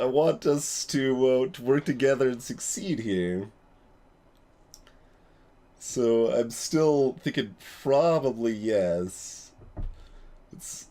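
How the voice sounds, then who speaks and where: A man's voice: mid-range voice, mellow. A man hosting a let's play with casual gameplay commentary, thinking aloud and often hesitating as he muses. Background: game music.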